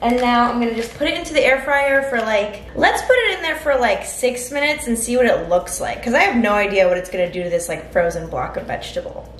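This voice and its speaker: A chatty, upbeat woman in her twenties talks through her cooking in a casual vlog, her fairly high voice close to the handheld camera. The small room gives her voice a noticeable echo, with a faint hiss of noise underneath. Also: expressive, fairly quick, few pauses, a bit bright. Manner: chatty and upbeat, thinking aloud while cooking. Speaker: vlogger. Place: small home kitchen and apartment indoors. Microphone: handheld camera close to face.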